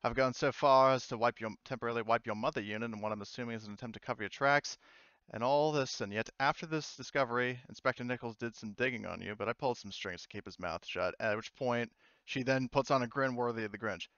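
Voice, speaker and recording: A man's voice: mid-range voice, fast talker, a bit bright, clear, resonant tone, no echo, lo-fi sound. A man narrating a tabletop roleplaying game as game master, in a casual, hesitant way.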